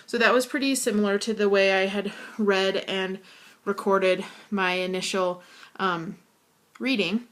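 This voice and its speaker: A woman speaks in a deep, slightly hoarse voice that slips into vocal fry. She holds a fairly flat, even pitch and talks casually to camera, pausing often on fillers. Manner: hesitant, frequent fillers. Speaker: student. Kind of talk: casual video assignment to camera.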